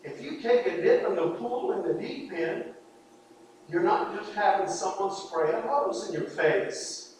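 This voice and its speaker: A man's voice: high-pitched, measured pace, slight background noise. A man preaching a sermon, earnest and pleading, pressing his points with emphatic repetition. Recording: echoey hall, voice from far off.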